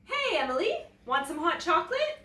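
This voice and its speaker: A woman voicing a kindly, easygoing father in a children's storybook, friendly and gentle. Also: very high voice, big pitch swings, moderate pace, roomy sound, distant mic, pitched above the performer's own voice, full character voice. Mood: friendly, caring.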